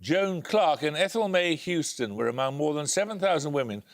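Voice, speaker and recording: A man hosting a television quiz show, reading introductions and questions in a measured, precise way. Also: a little high, expressive. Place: television studio.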